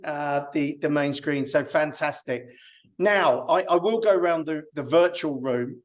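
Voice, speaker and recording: A man hosting an online panel casually, in a halting way that often repeats words. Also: fairly high pitch, a little mellow. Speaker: panel host. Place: online video call.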